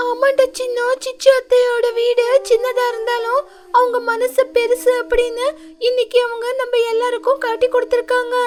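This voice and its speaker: A woman's voice: very high-pitched, completely flat delivery, normal speed. A woman voicing a kindly, comforting bird neighbour in a children's cartoon, earnest and reassuring. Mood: admiring. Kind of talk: children's moral story cartoon voice acting.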